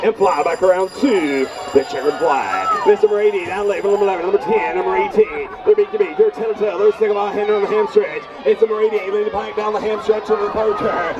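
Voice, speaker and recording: A man works as a race announcer, calling positions in a high voice. He is heard at a distance over a PA. A very loud outdoor crowd surrounds him. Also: quick pace, hardly any pauses. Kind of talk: race-call commentary over a PA. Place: outdoors at a farm festival.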